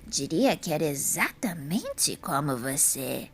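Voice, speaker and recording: Woman acting a croaky, frail old lady. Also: deep voice, big pitch swings, takes it slowly, full character voice. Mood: wistful, warm. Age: older. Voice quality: hoarse, breathy. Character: serene frail old lady, fond of her statues. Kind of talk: audio drama acting.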